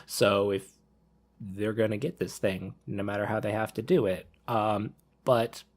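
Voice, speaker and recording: A man's voice: lowish voice, steady tone, measured pace. Rambling thirty-year-old man, casual podcast talk. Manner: rambling, repeats and rephrases himself. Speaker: podcast panelist. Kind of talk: casual panel discussion.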